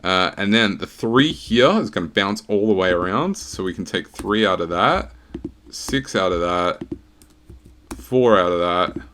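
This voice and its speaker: Low-voiced man rattling through a solve. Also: animated, noisy background. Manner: quick, casual, many uhs. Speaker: sudoku puzzle setter and walkthrough host. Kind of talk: explaining a puzzle solve.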